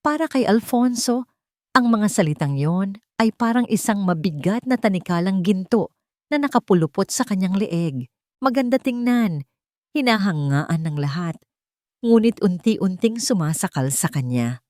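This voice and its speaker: A woman's voice: mid-range voice, animated, normal speed, darkish tone, no room sound, studio quality. A woman reading a scripted, dramatic love story as a storyteller. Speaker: storyteller, narrator.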